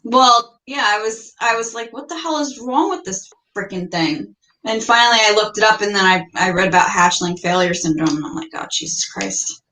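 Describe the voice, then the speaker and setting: A woman's voice: medium pitch, lively intonation, moderate pace, bright, forceful tone. A middle-aged American woman chatting casually on a livestream, telling stories in a plain-spoken, mildly salty way. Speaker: livestream guest, reptile keeper. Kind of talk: casual chat. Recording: roomy, echoey livestream audio.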